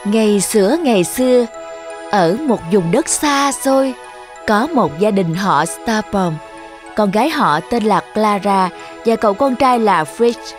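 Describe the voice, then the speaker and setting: A woman's voice: mid-range voice, lively intonation, unhurried, soft background music. A woman reading scripted fairy tale narration for children.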